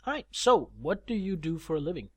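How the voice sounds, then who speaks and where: A man's voice: a little high, very animated, a little fast, a little mellow, slightly rough, no room sound. A man co-hosting a podcast in casual chat, teasing with dry humor. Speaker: podcast co-host.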